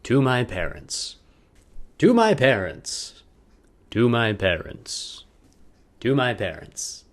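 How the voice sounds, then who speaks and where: Wry man with a lowish voice. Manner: wry, self-deprecating, joking asides. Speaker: video creator. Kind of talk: casual vlog intro, then loose dramatic reading of a personal letter.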